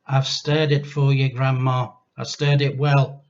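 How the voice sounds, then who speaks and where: Man as a obedient little storybook boy, polite and put-upon, slow replies, slightly muffled sound. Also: medium pitch, level tone, voice pushed up, lightly acted. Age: child.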